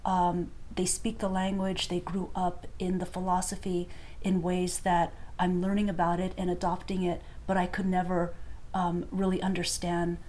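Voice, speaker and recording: A woman speaking reflectively in an interview, pausing often with hesitations. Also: on the low side, completely flat delivery, darkish tone, a little hiss or hum, poor audio. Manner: thoughtful, many hesitations.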